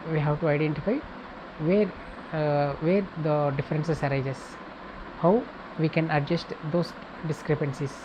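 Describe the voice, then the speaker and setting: A woman with a low voice teaches in a step-by-step tutorial style. She speaks in short, halting segments and often restates her phrases. The recording has clear background noise and hum. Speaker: accounting tutor. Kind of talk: step-by-step tutorial, reading points and explaining.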